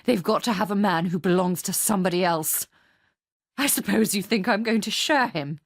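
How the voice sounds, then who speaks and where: A woman's voice: mid-range voice, normal speed, vocal fry, no echo, studio quality. A woman playing a jealous, faded mother in a radio drama, speaking in bitter, scornful accusations. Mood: bitter fury.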